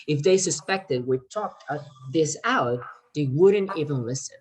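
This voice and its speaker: An eager crime writer read by a woman in a readers theater play, with a low voice. The delivery is earnest and persuasive, a little halting, as if laying out a careful scheme to rescue a friend. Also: animated, slight background noise. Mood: insistent. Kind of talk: amateur readers theater performance.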